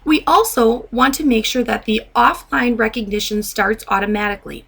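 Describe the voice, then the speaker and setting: A woman narrating a step-by-step software tutorial as a trainer, in a methodical, instructional way. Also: fairly high pitch, normal speed, creaky, slightly rough.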